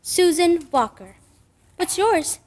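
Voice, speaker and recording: A woman's voice: very high-pitched, expressive, takes it slowly, a little mellow, heavily acted. A woman playing a frank, eager little child in an old-time radio drama. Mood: frank, curious.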